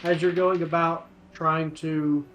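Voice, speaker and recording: A man with a fairly high voice narrates a tabletop game as its game master. His delivery is halting and hesitant, and the sound is roomy and echoey. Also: little pitch movement, over music.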